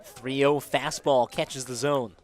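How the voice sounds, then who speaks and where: A man's voice: mid-range voice, steady tone, slow pace. A man calling live baseball play-by-play as a sports commentator.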